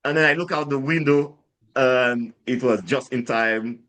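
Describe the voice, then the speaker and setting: A man with a Saint Lucian accent speaks into a podcast mic at a middling pitch. He rambles through his life story with frequent fillers and false starts, growing animated as he retells what happened. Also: measured pace. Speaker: guest, drinks brand founder. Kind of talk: casual storytelling interview.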